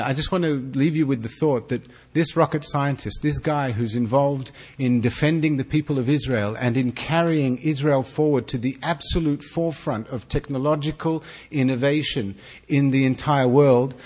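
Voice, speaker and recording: A man's voice: medium pitch, darkish tone, husky. Earnest Australian man giving a welcome. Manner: earnest, halting with repeated restarts. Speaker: consul general giving opening remarks. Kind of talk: formal welcome speech at an evening event.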